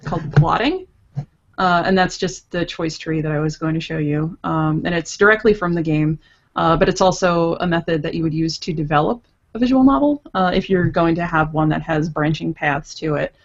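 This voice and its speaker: A woman's voice: deep voice, normal speed, highs cut off. A woman guest explaining things casually over an internet video call. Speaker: guest interviewee, visual novel writer.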